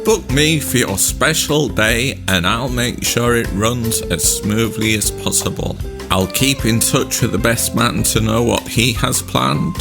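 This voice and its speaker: A man's voice: medium pitch, a little slow, gravelly. A man playing a chummy small-town wedding toastmaster delivering salesmanlike patter in a spoof local radio advert. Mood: salesmanlike, reassuring. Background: plucked guitar music.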